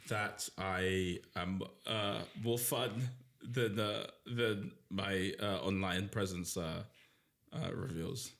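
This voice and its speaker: Teasing man, low voice. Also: fairly even intonation, slow pace, gravelly. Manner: teasing, laughs often, drops to quiet asides. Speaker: podcast host answering questions. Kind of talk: casual podcast chat.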